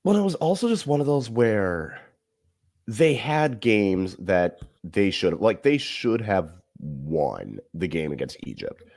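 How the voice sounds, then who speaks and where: A man co-hosting a casual podcast, giving animated, emphatic analysis of football matches. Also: lowish voice, unhurried. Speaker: podcast co-host. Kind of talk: casual sports chat.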